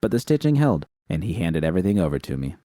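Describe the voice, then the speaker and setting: A man reads a first-person story with steady, even pacing. His voice is deep and mellow, recorded close and dry with no room sound. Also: fast, dry recording. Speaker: audiobook reader. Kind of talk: first-person fanfiction narration.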